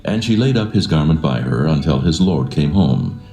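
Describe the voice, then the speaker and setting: Extremely deep, gravelly male scripture narrator. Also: big pitch swings, moderate pace, dark tone, reverberant.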